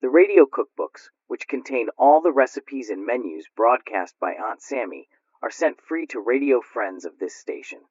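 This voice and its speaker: A man with a husky voice reading a formal scripted announcement as a radio announcer. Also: medium pitch, big pitch swings, moderate pace, a little mellow, dry recording. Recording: dull lo-fi radio recording.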